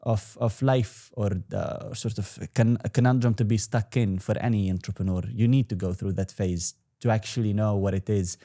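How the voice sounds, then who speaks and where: Young man with a mellow voice. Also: on the low side, fairly even intonation, normal speed, few pauses. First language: Arabic. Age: 20s. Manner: rambling, frequent restarts and fillers. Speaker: guest entrepreneur. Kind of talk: casual interview talk.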